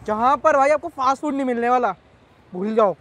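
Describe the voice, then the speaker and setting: A man's voice: very high voice, fairly quick, fairly bright tone. A male food vlogger chatting casually, asking curious questions and keeping up a friendly flow of talk. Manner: chatty, curious questioning, keeps saying "bhai". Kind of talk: casual vlog chat.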